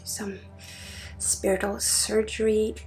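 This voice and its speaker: A woman from Sweden in soft-spoken ASMR as a spiritual energy healer, drifting between a murmur and a breathy whisper. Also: medium pitch, flat delivery, takes it slowly, faint music behind, rough recording. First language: Swedish.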